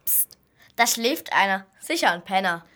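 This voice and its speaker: A woman's voice: medium pitch, expressive, moderate pace, bright, forceful tone. A female amateur playing a dim, blunt policewoman who blurts out rude remarks in an audio drama. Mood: blunt, teasing.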